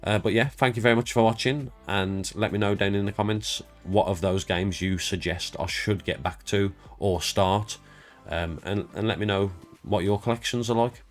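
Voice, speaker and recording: A man's voice: on the low side, fairly even intonation, normal speed, soft background music. A man with a congested, stuffed-up voice, rambling casually and self-deprecatingly as he walks through his game collection for his channel. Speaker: YouTube creator.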